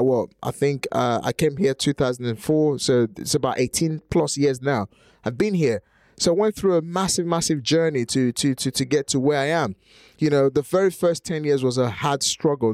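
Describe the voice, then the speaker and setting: A man's voice: medium pitch, darkish tone, dry recording. Nigerian-accented man with a dry sound. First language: Nigerian language. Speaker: host. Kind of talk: conversational interview.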